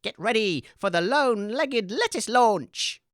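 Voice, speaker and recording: A woman's voice: a little high, lively intonation, measured pace, dry recording, studio quality. A woman playing a showy, eager debate host, announcing theatrically in a comedy audio show. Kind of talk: comedy audio sketch hosting.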